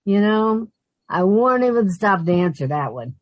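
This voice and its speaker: A woman's voice: medium pitch, big pitch swings, normal speed, no echo, close to an everyday voice. An actress playing a wisecracking, exasperated but fond working woman in an old-time radio sitcom style, with wry delivery. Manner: wry, sardonic quips.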